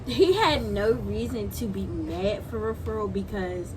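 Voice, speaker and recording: A young adult woman in casual storytime chat, slangy and halting, repeating phrases for emphasis. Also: medium pitch. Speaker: video creator, guest co-storyteller. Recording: clearly noisy home video recording.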